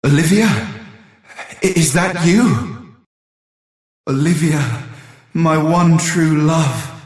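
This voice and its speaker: Lovesick game hero, slow n tender, echoey, sounds far off. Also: male voice, on the high side, a little mellow. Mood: yearning, tender. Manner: tender, melodramatic. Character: lovelorn, devoted young male lover. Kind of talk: video game character acting. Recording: echoey, distant from mic.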